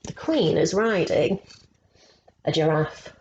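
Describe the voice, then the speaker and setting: A woman reading a picture book aloud to children, slow and expressive, stopping to ask them questions. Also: low-pitched, lo-fi sound, slight background noise. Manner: slow and expressive, asking the young listeners questions.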